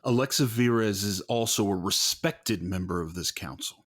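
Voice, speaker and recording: A man's voice: fairly low pitch, normal speed, background noise, natural voice. An actor playing a sardonic male vampire councilor in an audio drama, taunting others in a mock-formal manner.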